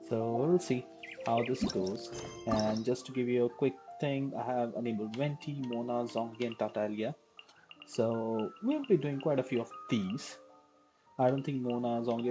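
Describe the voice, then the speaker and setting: A woman streaming a game with casual, rambling, unhurried live commentary. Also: a bass voice, warm tone. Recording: faint game music behind.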